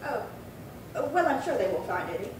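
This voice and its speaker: A woman's voice: big pitch swings, brisk, recorded from a distance, lightly acted. A woman playing a chatty, proud, easily flustered elderly spinster who rambles as a witness in a 1950s-style radio crime drama. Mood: fussy, defensive. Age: older. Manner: rambling, flustered, indignant old-lady talk. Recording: echoey room from afar, noisy rumble.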